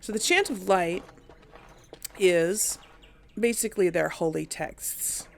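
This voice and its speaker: Female let's play host; unhurried, vocal fry, rambling and self-deprecating, casual. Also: fairly low pitch. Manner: hesitant, thinking aloud, self-deprecating. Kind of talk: casual rambling game commentary.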